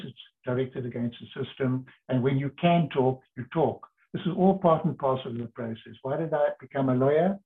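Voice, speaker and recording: An older man from South Africa, a lawyer, telling his story in an earnest, measured way with pauses. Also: medium pitch. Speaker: interviewee, lawyer. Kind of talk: reflective storytelling. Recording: tinny phone-like line.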